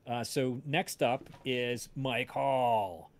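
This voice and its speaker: A man hosting a casual livestream chat, talking in a halting way as he searches for words. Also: mid-range voice, fairly even intonation. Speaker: host of a cast and crew livestream, audio drama writer.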